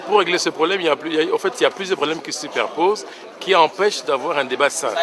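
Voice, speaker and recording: A man talking without a script, stumbling and restarting before he drives his argument home. A crowd murmurs clearly behind him. His voice sits at a middle pitch. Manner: hesitant, restarts with fillers, then presses his point. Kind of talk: spontaneous discussion.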